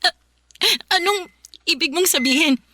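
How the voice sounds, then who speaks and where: A woman's voice: very high-pitched, unhurried, pushed and bright, heavily acted. A woman playing a distraught young woman who tearfully pleads for her lover in a Filipino radio drama. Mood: anxious, hopeful. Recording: music playing behind the voice.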